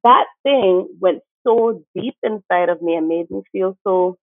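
A woman's voice: on the low side, unhurried, no room sound. A woman from St. Martin, a teacher, speaking earnestly and emphatically as a guest in an interview. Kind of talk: conversational interview. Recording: tinny phone-like line.

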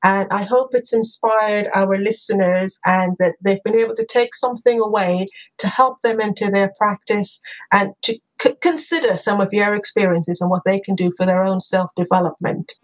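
Woman, podcast host, encouraging, laughs often, mid pitch. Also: steady tone, moderate pace, low-quality recording. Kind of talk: interview hosting.

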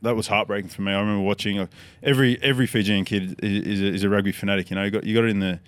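An Australian man in his thirties, a podcast guest telling his story in a relaxed, rambling way. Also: lowish voice, rapid-fire, no echo. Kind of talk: casual chat.

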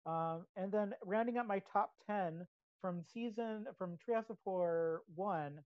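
A woman's voice: mid-range voice, a little slow, dry recording, dull audio. A woman with an American accent chatting casually about pop culture as a podcast co-host.

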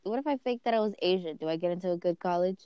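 A woman's voice: on the low side, a crowd in the background. A teenage girl chatting casually with friends and laughing often. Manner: giggly, quick to laugh. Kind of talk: casual chat.

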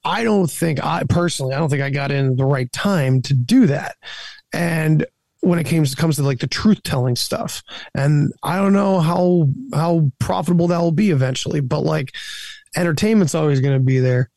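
A man from New England, a podcast host, chatting in a laid-back, halting way and swearing casually. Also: on the high side, creaky, a little mellow, dry recording.

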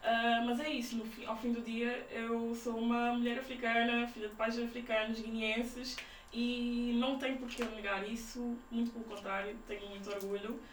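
A woman's voice: on the high side, little pitch movement, moderate pace. A young woman with a Lisbon-area Portuguese accent, talking informally as a podcast guest and thinking aloud in broken phrases. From Portugal, Sintra area. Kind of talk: casual conversational interview. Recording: roomy, distant mic, faint noise.

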